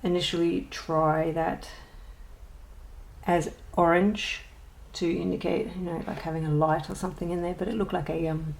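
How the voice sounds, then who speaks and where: A woman's voice: fairly low pitch, flat delivery, normal speed, vocal fry, poor audio. A woman chatting casually in a show-and-tell vlog, rambling and hesitant with many ums and self-deprecating asides. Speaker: colouring channel host.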